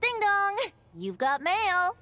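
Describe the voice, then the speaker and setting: A woman's voice: extremely high pitch, very animated, slow, full character voice. A woman playing a playful, lyrical young storytelling bard in a game, with a lilting, theatrical delivery. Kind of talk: scripted game voice acting. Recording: clear music behind.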